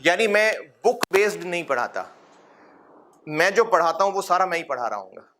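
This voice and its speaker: A middle-aged man lecturing a classroom of accounting students in a fatherly, encouraging way, with rhetorical questions and gentle humor. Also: sits high, sing-song, normal speed, a crowd in the background.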